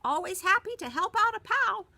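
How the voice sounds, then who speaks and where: A woman voicing a small, friendly male pet hamster with a squeaky voice. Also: very animated, normal speed, bright, forceful tone, heavily acted. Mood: cheerful.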